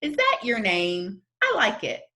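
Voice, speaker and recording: Woman narrator as young schoolgirl, storybook character, friendly, breezy, high-pitched, animated, bold. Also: unhurried, full character voice. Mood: amused.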